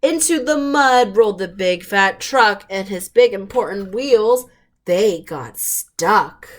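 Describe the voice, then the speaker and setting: A woman's voice: mid-range voice, expressive, slow pace. A woman hosting storytime, reading picture books aloud in simple, emphatic phrases and putting questions to young listeners. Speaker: storytime host reading to children.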